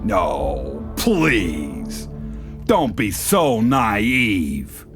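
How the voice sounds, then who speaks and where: An actor playing a smug, menacing crime boss in an audio drama, taunting with drawn-out contempt. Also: male voice, lowish voice, wildly swooping pitch, music in the background, full character voice. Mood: smug, mocking.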